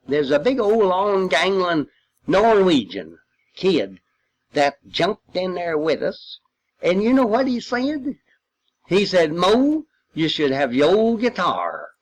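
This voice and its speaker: A man's voice: high voice, poor audio. An older man telling war memories informally, in a folksy, rambling way with long hesitations. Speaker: war veteran recounting memories. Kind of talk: informal spoken reminiscence.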